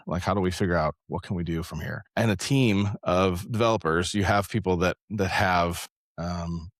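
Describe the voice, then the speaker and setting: A man with a deep voice talks casually as an interview guest. He rambles a little, reworking his phrases as he thinks aloud. The sound is dry, with very little room in it. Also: no room sound. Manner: rambling, conversational, thinks aloud with repeated rephrasing. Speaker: interview guest, software developer and engineering leader.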